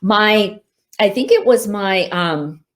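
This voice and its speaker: A woman's voice: mid-range voice, animated, slow pace. A woman whose first language is Hindi, an author chatting casually through reader questions at a virtual event, laughing easily and using lots of fillers. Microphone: video call mic.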